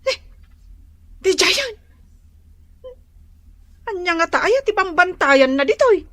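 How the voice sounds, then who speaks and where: A woman's voice: very high-pitched, a bit bright, exaggerated voice. A woman playing a timid, anxious young woman in a radio drama, speaking in a hesitant, whiny way full of exclamations. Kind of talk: Ilocano radio drama acting.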